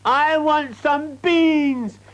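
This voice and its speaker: A man's voice: a bit bright, exaggerated voice. A man voicing a spoiled, demanding little girl who whines and throws tantrums in a storybook reading. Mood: whiny. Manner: shrill, slow whining demands.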